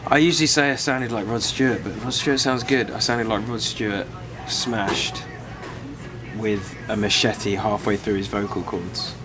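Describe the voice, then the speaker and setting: A man with a head cold, his voice worn hoarse, casually narrating a band's tour diary with easygoing, self-deprecating hesitations. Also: fairly low pitch, steady tone, normal speed, music in the background. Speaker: band member filming tour diary.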